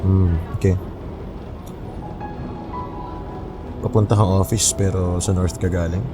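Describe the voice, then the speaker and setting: A man's voice: deep voice, flat delivery, brisk, over music, lightly acted. A man playing a young office worker in naturalistic, conversational audio drama dialogue. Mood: awkward, surprised. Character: casual young office worker chatting on his commute.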